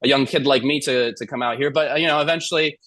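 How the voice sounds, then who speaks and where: A man's voice: mid-range voice, fairly even intonation, fast talker, a bit bright, dry recording. A young man from Iran, an actor guest telling stories casually, rambling with many fillers and restarts. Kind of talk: casual interview storytelling.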